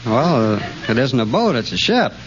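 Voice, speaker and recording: A man's voice: mid-range voice, sing-song, moderate pace. A man answering as a quiz show contestant, hesitant and dryly literal, on an old radio recording. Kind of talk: spontaneous interview answers. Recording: muffled noisy radio recording, live audience.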